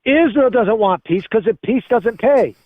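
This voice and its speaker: High-voiced man, mature, insistent political talker on a slightly muffled call. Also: animated, measured pace, dry recording. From Spain. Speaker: caller. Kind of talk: opinionated political conversation. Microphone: phone or voice call.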